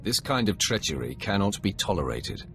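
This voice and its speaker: Very deep, commanding Sith lord. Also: male voice, sing-song, fairly bright tone, soft background music. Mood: stern. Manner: authoritative, measured, formal. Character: commanding male Sith lord, stern, measured master. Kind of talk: dramatic video game voice acting.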